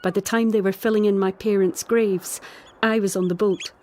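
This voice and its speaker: A woman acting in an audio drama as a troubled, sensitive island woman, earnest and expressive, with a Scottish accent. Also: mid-range voice, moderate pace, a little hiss or hum, lightly acted. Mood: guilty, subdued.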